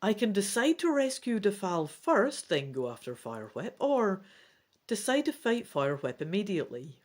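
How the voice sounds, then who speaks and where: A woman's voice: mid-range voice, moderate pace. A woman reading a gamebook aloud dramatically and expressively, with casual commentary as she plays. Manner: theatrical, expressive. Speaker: gamebook reader and player.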